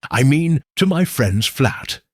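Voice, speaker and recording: A man's voice: medium pitch, big pitch swings, takes it slowly, breathy edge, no room sound, studio quality, voice pushed up, exaggerated voice. A man voicing a delicate, tearful young woman, fragile and faltering, in an audiobook. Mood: hesitant. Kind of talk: acted audiobook dialogue.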